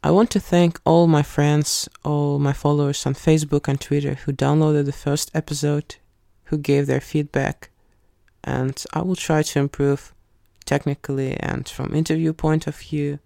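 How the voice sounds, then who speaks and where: A man hosting a podcast interview, speaking with careful, slightly halting phrasing. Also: a little high, little pitch movement, creaky, mellow, no room sound, studio quality. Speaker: podcast host. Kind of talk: casual interview.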